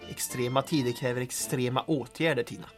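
An actor playing a wry, loyal but cautious sergeant sidekick in old-time radio drama style. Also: male voice, medium pitch, steady tone, soft background music, lightly acted. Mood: dry, questioning. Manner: dry, wry, understated.

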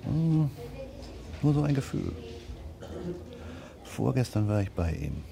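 An actor playing a dry, terse, probing police detective in naturalistic radio drama dialogue. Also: male voice, medium pitch, very animated, slow, creaky, a crowd in the background, exaggerated voice. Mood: dry, confiding.

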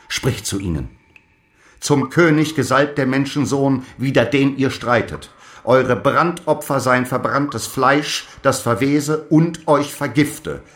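An actor playing a zealous apostle in a radio drama, declaiming solemn, archaic prophecies and defiant last letters. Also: male voice, medium pitch, a bit bright, lightly acted. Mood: wrathful, commanding. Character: fiery apostle dictating zealous letters, defiant before his death.

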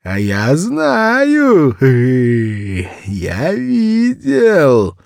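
A man voicing a spiteful young boy gloating over a secret in a drawn-out, taunting way. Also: mid-range voice, wildly swooping pitch, creaky, darkish tone, no room sound, clean studio sound, pitched above the performer's own voice, exaggerated voice.

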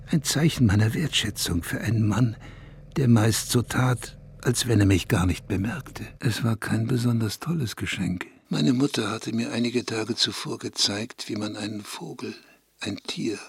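A bitter wistful man in a radio play, lowish voice, intimate brooding monologue, measured. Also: breathy edge, no echo, heavily acted. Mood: tender, subdued. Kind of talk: first-person radio drama monologue.

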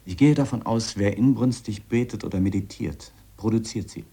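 An eccentric scientist in a radio play, with a very deep, gravelly voice. He muses earnestly, explaining his obsession and admitting his doubts as he feels his way from thought to thought. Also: warm tone. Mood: fervent, convinced. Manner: reflective, halting, explanatory. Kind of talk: radio drama monologue in letter form.

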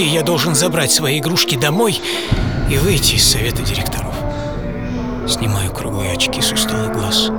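A man telling a dramatized first-person story as a radio presenter, theatrically, savouring short phrases. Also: medium pitch. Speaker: writer and presenter of the programme. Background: loud music bed.

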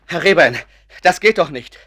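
A man's voice: on the high side, lively intonation, normal speed, sharp tone, heavily acted. A man playing an earnest, principled doctor in a German radio play, insistent and reasoning in his talk. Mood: urgent, pleading. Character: earnest, principled male doctor, sceptical of illusions.

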